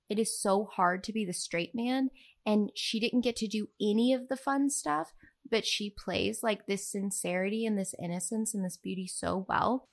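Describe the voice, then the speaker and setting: A woman co-hosting a podcast, chatting casually and animatedly, acting out quotes as she tells stories. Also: medium pitch. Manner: animated, giggly, acts out quotes. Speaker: podcast co-host. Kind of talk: casual, gushing film chat.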